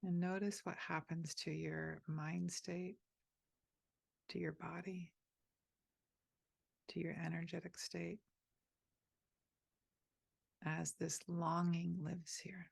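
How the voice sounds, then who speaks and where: A woman leading a guided meditation, speaking softly and gently inviting the listener, with pauses between phrases. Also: on the low side, flat delivery, vocal fry, slightly rough, no room sound. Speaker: meditation teacher.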